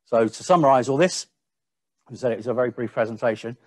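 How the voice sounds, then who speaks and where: A man giving a webinar-style product presentation, speaking a little haltingly and repeating the odd word. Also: medium pitch, a little mellow, no echo.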